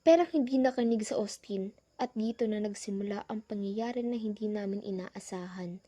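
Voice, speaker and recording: A woman's voice: mid-range voice, normal speed, dark tone, lightly acted. A young woman playing the narrator who recounts a frightening island trip in a scripted radio drama. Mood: uneasy storytelling. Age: young adult.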